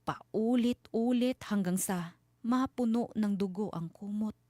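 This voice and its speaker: A woman narrates a scary story in a dark, mellow voice of medium pitch. Her phrasing is deliberate and full of suspense, and the sound is very dry and close. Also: no room sound. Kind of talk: dramatic scripted horror narration.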